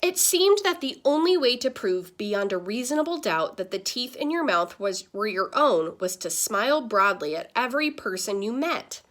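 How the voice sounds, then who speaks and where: A woman reading a children's story aloud in the style of a teacher, with dramatic pauses and stressed words. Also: a little high, sing-song, a bit bright.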